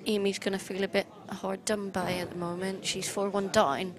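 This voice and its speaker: A woman's voice: mid-range voice, level tone, moderate pace, a crowd in the background. A woman co-commentating live on a pool match in a chatty way, with frequent fillers.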